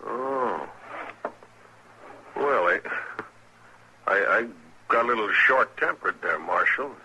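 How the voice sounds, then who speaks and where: An actor playing a shrewd, touchy frontier gambler in an old-time radio western. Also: male voice, lowish voice, expressive, slow pace, pushed and bright, heavily acted, poor audio. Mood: apologetic. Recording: old radio drama, faint background noise.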